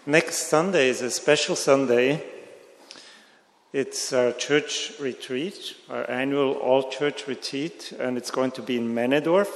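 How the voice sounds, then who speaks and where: A man's voice: medium pitch, a little slow. A man serving as church elder, giving the announcements with a light, joking touch. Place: large church sanctuary. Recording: echoey church hall.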